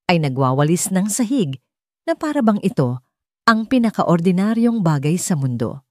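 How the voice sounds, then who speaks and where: A woman's voice: on the low side, darkish tone, no room sound, studio quality. A woman with a Filipino accent reading a dramatic story as an audiobook narrator.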